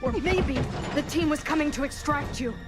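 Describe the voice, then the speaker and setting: A woman's voice: high-pitched, normal speed, music in the background, natural voice. An actress playing a skeptical female marine in a game cutscene, questioning pointedly. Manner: skeptical, probing.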